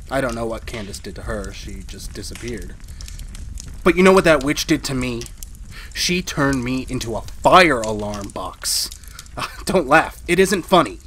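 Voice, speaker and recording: A man's voice: mid-range voice, fairly bright tone, slight background noise, close to an everyday voice. A man acting a fretful, henpecked husband in a retro radio drama, hesitant and confiding. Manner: nervous, hesitant, aggrieved. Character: henpecked, fretful, very long-lived husband of a witch. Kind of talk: retro 1940s radio drama, confiding first-person narration.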